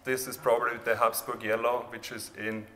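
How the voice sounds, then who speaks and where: A man with an Austrian accent, a native German speaker, giving an informal, halting presentation of his own art project. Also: medium pitch, flat delivery, bright, forceful tone. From rural Austria near the Hungarian border. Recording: reverberant hall, background noise.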